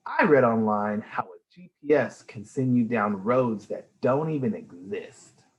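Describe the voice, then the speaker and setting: A man's voice: mid-range voice, animated, a little slow, dull audio, close to an everyday voice. A man voicing a smug, know-it-all young brother in a storybook, matter-of-fact and needling.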